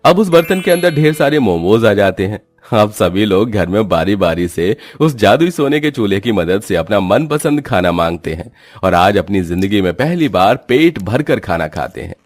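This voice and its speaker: A man reads a scripted story as its narrator. His voice is fairly low and recorded dry, with faint music underneath. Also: very animated, moderate pace, few pauses, dry recording.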